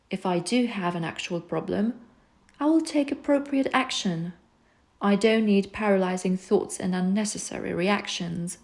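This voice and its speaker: A woman reading rhyming self-help poems aloud in a soft, soothing, unhurried way. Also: mid-range voice, creaky. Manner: soft, soothing, slow recitation. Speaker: poetry reader.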